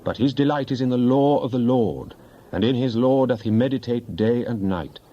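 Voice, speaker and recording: Dark-voiced man solemnly reciting psalms. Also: medium pitch, normal speed. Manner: solemn, declamatory. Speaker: scripture reader. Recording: dull lo-fi, faint background noise.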